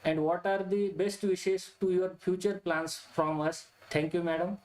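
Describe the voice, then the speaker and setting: A man hosting an online lecture, speaking in a halting way with frequent pauses on a video call. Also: high-pitched, steady tone, a little mellow, rough recording. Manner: halting, non-standard grammar, frequent "uh". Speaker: webinar host. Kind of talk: casual closing remarks.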